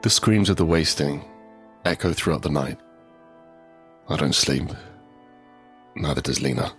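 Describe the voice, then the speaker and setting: An actor playing an anguished, guilt-ridden survivor in a post-apocalyptic audio drama, brooding and halting, in a hushed confessional manner. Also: male voice, extremely low pitch, breathy edge, soft background music, exaggerated voice. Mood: subdued, haunted. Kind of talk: dramatic first-person audio drama narration.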